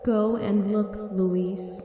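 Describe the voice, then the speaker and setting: A woman's voice: mid-range voice, steady tone, very slow, mellow. A woman playing the grave, accusing ghost of a murdered woman in a radio horror drama, solemn and insistent. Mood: commanding. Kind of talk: old-time radio horror drama acting. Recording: echoing, eerie music behind.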